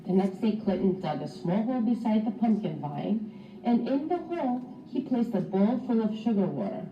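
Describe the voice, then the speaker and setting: A woman teacher reading a children's storybook aloud in an expressive, instructive way for young pupils. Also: medium pitch, moderate pace. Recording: roomy, distant mic, slightly dull.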